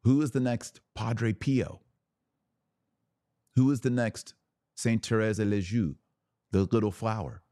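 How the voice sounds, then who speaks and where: A man's voice: on the low side, measured pace, long pauses, dark tone, dry recording. A man hosting a podcast, delivering a fervent religious monologue in an emphatic, exhorting manner with rhetorical questions and repetition. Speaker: podcast host.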